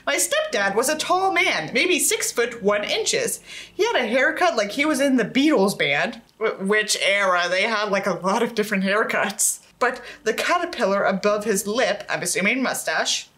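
A woman's voice: mid-range voice, lively intonation, moderate pace, fairly bright tone. A young woman reading a book aloud as a commentary host, theatrical and sarcastic, with profane asides. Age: young adult. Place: indoors, small set with tinsel backdrop.

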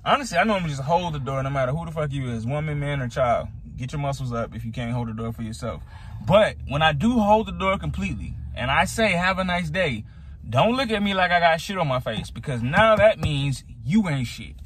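A man's voice: a little high, animated, brisk, a bit bright. Blunt, sweary man in a noisy car. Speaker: vlogger's husband joining in. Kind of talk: casual chat. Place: inside a car.

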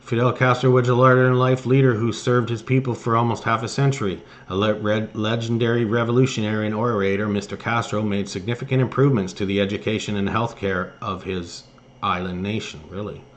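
A man hosting an online news commentary, reading articles aloud and remarking on them somewhat haltingly. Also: on the low side, flat delivery. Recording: noticeable background noise.

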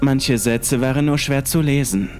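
A man narrating a creepy first-person horror story in a calm, measured way. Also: medium pitch, soft background music.

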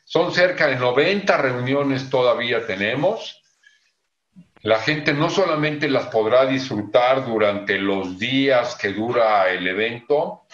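Older Mexican man, low voice, video call. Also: fairly bright tone. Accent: Mexican Spanish. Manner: measured, explanatory, with filler "eh". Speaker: convention manager. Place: home office. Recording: echoey room, dull lo-fi sound.

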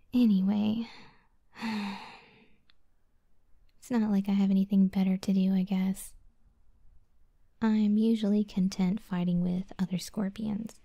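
A woman playing a proud, grudging scorpion monster girl in a soft, breathy, whispered ASMR roleplay. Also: medium pitch, no room sound. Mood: smug, offhand. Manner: grudging, flustered, haughty.

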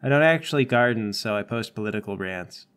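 A man playing a tabletop game, trading casual comic banter in a deadpan way. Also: lowish voice, fairly quick, clear, resonant tone.

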